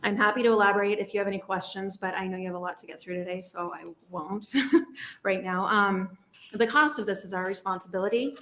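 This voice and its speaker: Woman reading her testimony, earnest and steady, mid pitch. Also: fairly quick, a little hiss or hum. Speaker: testifier at a hearing.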